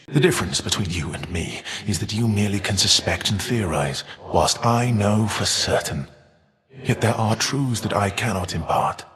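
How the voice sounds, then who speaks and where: Guy voicing a proud bitter titan for a video game, kinda deep, grave old-fashioned wording, big echoey reverb. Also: close to an everyday voice. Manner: formal, measured, grave archaic diction. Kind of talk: scripted video game character voice lines.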